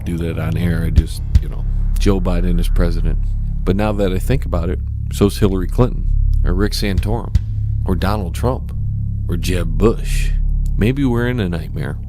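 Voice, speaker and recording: A man's voice: low-pitched, normal speed, music in the background. A man hosting talk radio, delivering opinionated, mock-earnest rants and taking callers. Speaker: radio talk show host.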